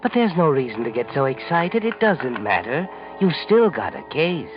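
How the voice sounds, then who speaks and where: A man's voice: fairly high pitch, sing-song, moderate pace. A man playing a sinister, mocking, all-knowing narrator of a 1940s radio mystery, insinuating as he speaks straight to the culprit, on a muffled old recording. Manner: insinuating, knowing, addresses the character directly. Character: sinister, mocking male omniscient narrator, needling a criminal. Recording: muffled vintage radio with music behind.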